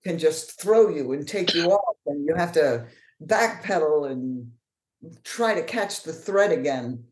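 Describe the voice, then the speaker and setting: A low-pitched woman speaks with a deeper voice, telling her story frankly and with plenty of energy. She is a guest in a casual interview. Her voice rings in an echoey room. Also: animated, a little slow, darkish tone. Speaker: author and actor, interview guest.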